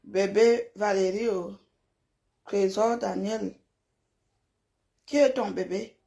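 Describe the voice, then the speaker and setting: A woman's voice: mid-range voice, steady tone, takes it slowly, gravelly, warm tone. A woman chatting on a live stream, blunt and scolding, emphatic and given to repeating herself. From francophone Africa, grew up in a village. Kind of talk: casual live stream chat, replying to viewers' comments.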